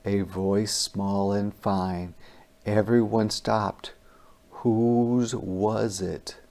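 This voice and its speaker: A storybook reader with a very slow, deliberate delivery. The voice is creaky and mellow, giving a rhyming children's tale theatrical phrasing. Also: low-pitched, level tone. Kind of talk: dramatic rhyming children's read-aloud.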